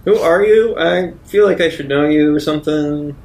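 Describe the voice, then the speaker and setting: A man's voice: a little high, normal speed, darkish tone, reverberant. A man casually speaking in character as a player in a tabletop role-playing game.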